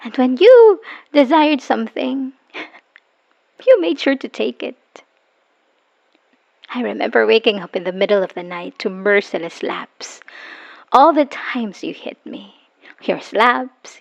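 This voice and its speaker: Dull lo-fi audio, actress playing a hurt bitter woman finally leaving her abusive partner, halting n emotional, asmr roleplay style. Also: fairly high pitch, expressive, creaky, exaggerated voice. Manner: emotional, halting, sighing, dropping into whispers. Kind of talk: dramatic ASMR audio roleplay monologue, voicemail confession. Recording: dull lo-fi voice recording.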